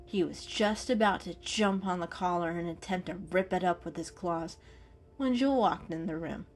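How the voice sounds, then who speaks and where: A woman's voice: on the low side, moderate pace, slight background noise. A woman reading a scripted story as narrator, in a dramatic storytelling style.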